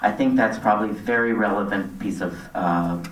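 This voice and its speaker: A woman's voice: extremely low pitch, a little fast. Echoey, distant woman giving public comment. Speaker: resident speaking at a town board meeting. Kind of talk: public comment speech, semi-extemporaneous. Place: community center meeting hall. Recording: echoey hall, distant microphone.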